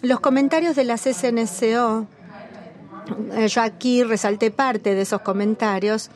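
A woman interpreting a formal meeting as it happens, in a measured, halting delivery that stops often to catch up with the speaker. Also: a little high, steady tone. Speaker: simultaneous interpreter at a policy meeting.

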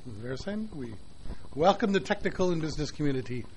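A man's voice: a little high, fast talker, slight background noise, rough recording. A man chairing a conference meeting in a meeting room, speaking loosely with frequent "uh"s. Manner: relaxed, filler-heavy speech with many "uh"s.

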